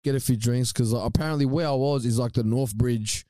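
A man telling a story in casual podcast chat, relaxed and slangy. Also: medium pitch, a little fast, no echo.

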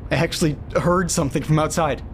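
A man's voice: fairly high pitch, fairly even intonation, fast, slight background noise, natural voice. An actor playing an uneasy, lonely man reading eerie letters aloud in an audio drama monologue. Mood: uneasy, startled. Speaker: narrator.

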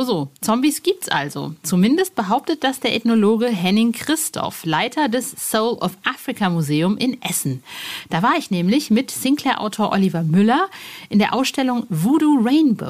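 A woman presents a podcast in a chatty, informal style. She talks straight to her listeners with a playful touch, in a voice of medium pitch. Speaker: podcast host.

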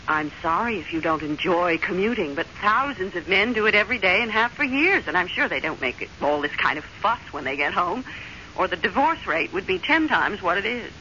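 An actress playing a prim, stubborn American wife in an old-time radio drama, clipped, chiding and quick to take offence. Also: female voice, fairly low pitch, big pitch swings, pushed and bright. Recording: muffled, noisy old radio recording.

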